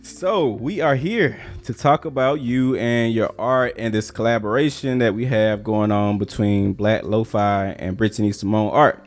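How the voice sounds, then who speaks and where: A man's voice: fairly low pitch, measured pace, few pauses, soft background music. An American man hosting a casual interview in a laid-back, slangy way. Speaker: interviewer, host.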